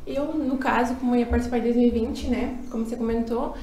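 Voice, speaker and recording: A 21-year-old Brazilian woman answering interview questions in a relaxed, slightly hesitant way. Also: on the high side, fast, soft background music. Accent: Brazilian Portuguese. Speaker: pageant candidate. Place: office studio. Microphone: desk mic on stand. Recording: roomy, distant, faint hum.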